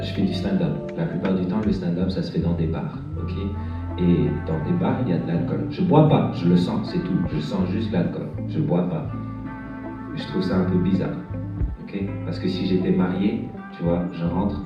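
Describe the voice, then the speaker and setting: A young man from France performing a stand-up set on a bar stage, talking in a halting way with lots of fillers and restarts. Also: sits low. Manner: hesitant, halting delivery with many fillers and restarts. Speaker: stand-up comedian. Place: bar stage with live audience. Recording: echoey, dull room sound from far off, music behind.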